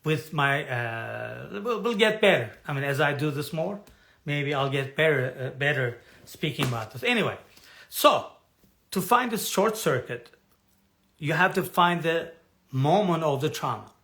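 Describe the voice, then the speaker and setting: A man coaching an online audience in personal development, talking in a halting way with frequent restarts as he searches for words. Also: on the high side, expressive, creaky, fairly bright tone. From has lived in Turkey for decades. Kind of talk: live talk to an online audience.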